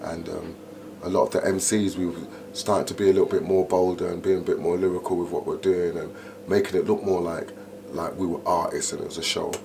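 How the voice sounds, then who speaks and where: A middle-aged man, an MC telling stories in an interview, animated and full of "you know". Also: low voice, fairly quick, gravelly. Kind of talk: interview, casual storytelling. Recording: noticeable background noise.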